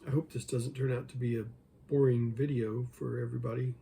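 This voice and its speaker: A man who is losing his voice, hoarse, thinking aloud over an online chess game and joking with himself as he plays. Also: medium pitch, flat delivery, brisk, dark tone, a little hiss or hum. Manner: mutters his thoughts, talks to himself, jokes self-deprecatingly. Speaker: chess video creator.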